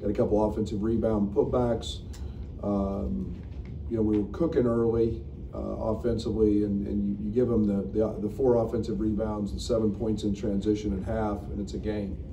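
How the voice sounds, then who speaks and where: A middle-aged man, a basketball coach, answering questions at a postgame press conference in a frank, halting way with many fillers. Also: fairly low pitch, little pitch movement, creaky, warm tone, distant mic. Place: press room at a table. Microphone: table mic, recorded from the room. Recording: echoey room, picked up from afar, noisy.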